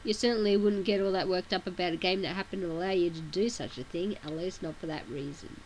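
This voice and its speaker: A woman's voice: on the low side, fairly even intonation, fast, darkish tone, a little hiss or hum, rough recording. A woman with a sore throat reading a webcomic aloud, with casual asides between the read text. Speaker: reader of a webcomic.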